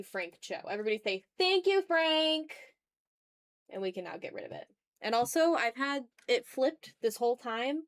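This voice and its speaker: A woman's voice: fairly high pitch, clear, resonant tone. A woman talking informally to viewers as she draws on a livestream, often breaking off to greet people in the chat. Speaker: host of an art livestream, video creator. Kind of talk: casual livestream chat with viewers while drawing. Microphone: streaming mic, newly placed a little far away.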